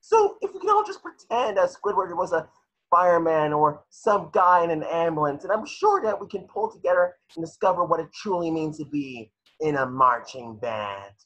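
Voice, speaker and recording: A man's voice: fairly high pitch, animated, moderate pace, fairly bright tone, rough recording. A man voicing an earnest, boyish, optimistic sea sponge who rallies others theatrically in a cartoon table read. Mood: rallying.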